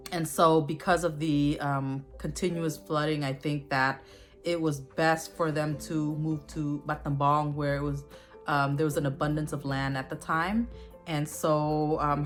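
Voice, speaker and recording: A woman in her forties, born in a refugee camp and raised in the U.S., tells her family's history slowly and thoughtfully, with many pauses and fillers. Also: sits low, little pitch movement, faint music behind. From born in a Thai border refugee camp, raised in the U.S. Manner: thoughtful, with many ums and uhs. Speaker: guest sharing family history. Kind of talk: recorded personal testimony.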